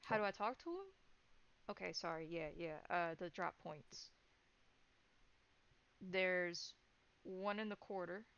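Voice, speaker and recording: A woman voicing a cornered male informant in a tabletop game, evasive and halting, eager to placate. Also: fairly low pitch, animated, a bit bright, dull audio, heavily acted. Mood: flustered.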